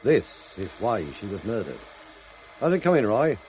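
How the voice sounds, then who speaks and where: An actor playing a sardonic, insistent British police detective in charge, in a 1970s radio drama, with a husky voice. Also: male voice, fairly low pitch, very animated, normal speed, a little mellow, a little hiss or hum. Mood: needling.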